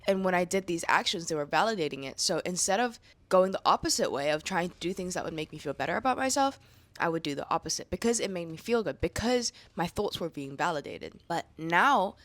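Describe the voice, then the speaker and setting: Woman vlogger, slightly raspy, lower register, casual and confessional. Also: lively intonation, fairly quick, fairly bright tone, no echo. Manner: chatty, candid, slangy. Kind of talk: casual chat to camera. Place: room indoors.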